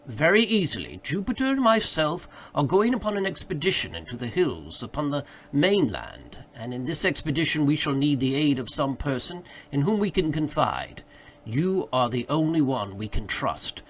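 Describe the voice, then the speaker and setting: A man voicing an excited, grandiloquent gentleman obsessed with a quest in a literary audiobook, insistent in manner. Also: a little high, moderate pace. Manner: pompous, insistent. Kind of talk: literary audiobook character dialogue.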